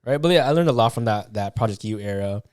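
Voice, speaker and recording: A man's voice: fairly low pitch, lively intonation, quick pace, darkish tone, no room sound, studio quality. A young man from Canada co-hosting a podcast, telling stories with energy, swearing and calling people "dude" and "bro". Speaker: podcast co-host. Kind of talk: casual chat.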